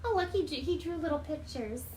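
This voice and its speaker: A woman voicing an excitable female puppet bird who reads storybooks aloud with theatrical exclamations and droll asides. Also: high-pitched, fairly quick, close to an everyday voice. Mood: bright storytelling. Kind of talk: casual read-aloud with playful asides on a livestream. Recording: roomy echo, faint background noise.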